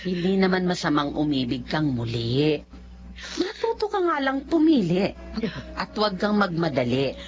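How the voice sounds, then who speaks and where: A woman's voice: lowish voice, very animated, normal speed, soft background music. A woman acting a frank elder sister who gives advice, in a radio drama. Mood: chiding, advising. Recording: dull lo-fi audio.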